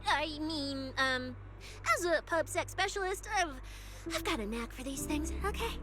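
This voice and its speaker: A woman playing a playful, food-loving young police specialist in an animated game cutscene, with an expressive, mock-official manner. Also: high-pitched, unhurried. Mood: mock-stern. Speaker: video game voice actor. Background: background music.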